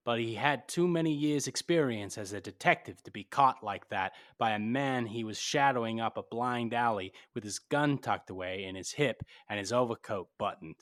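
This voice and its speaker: An actor playing a tough, cynical private detective in 1940s radio drama style, blunt and clipped. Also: male voice, mid-range voice, moderate pace, few pauses, a bit bright, close to an everyday voice. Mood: cold, accusing. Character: hard-boiled cynical male private detective, tough and unsentimental.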